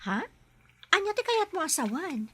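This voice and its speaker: A woman's voice: very high voice, very animated, moderate pace. A woman acting a patient, caring young health worker in an Ilocano radio drama, with an Ilocano Filipino accent. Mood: curious, friendly.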